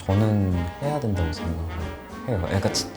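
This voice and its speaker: Guest: man in his late 20s, very low-pitched, soft-spoken, over music. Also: animated, fast.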